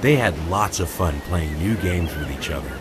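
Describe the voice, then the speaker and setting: A man narrates a children's story in a low, animated voice. It is a scripted storybook read, with chirping crickets and insects clearly audible behind him. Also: normal speed, noisy background.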